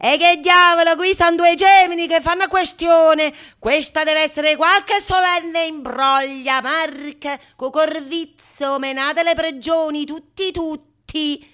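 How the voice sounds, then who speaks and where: Actress as officious captain of the guard in stage farce, high-pitched, pompous, giving orders. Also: female voice, fairly even intonation, a bit bright. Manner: officious, commanding, drawn-out calls. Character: pompous, loud male captain of the watch. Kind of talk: theatrical radio monologue of Renaissance comedy.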